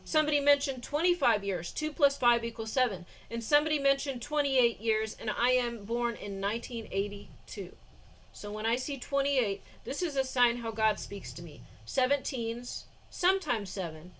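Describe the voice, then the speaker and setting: A woman's voice: on the high side, normal speed, a bit bright, poor audio. A woman in her forties talking in a casual diary vlog, rambling with many fillers and frequent laughs, recorded indoors on a phone or camera mic. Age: 40s. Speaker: vlogger.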